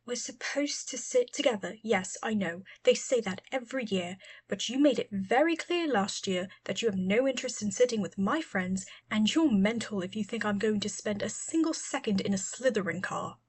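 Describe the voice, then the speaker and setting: A woman's voice: mid-range voice, animated, moderate pace, hardly any pauses, husky. A sullen adolescent boy, acted out by a woman narrator in an audiobook story. He is defiant and sarcastic, with a scornful, dismissive way of talking.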